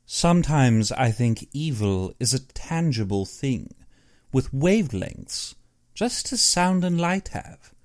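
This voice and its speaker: A man voicing an uneasy, superstitious big-game hunter in an audiobook, earnest and brooding in his expressive delivery. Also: mid-range voice, pitched above the performer's own voice. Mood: uneasy, reflective. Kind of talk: audiobook character dialogue.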